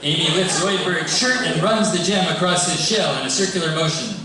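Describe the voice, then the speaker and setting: A man's voice: fairly high pitch, brisk, a crowd in the background. A man reading stage directions as the narrator of a live comedy table read. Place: large live event room, distant mic. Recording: very echoey, distant, noisy live room.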